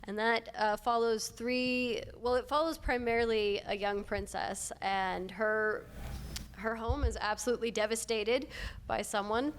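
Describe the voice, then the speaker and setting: A woman's voice: on the high side, steady tone, unhurried. A woman writer speaking informally on a panel, explaining a story. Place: convention panel room. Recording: live room with faint background noise.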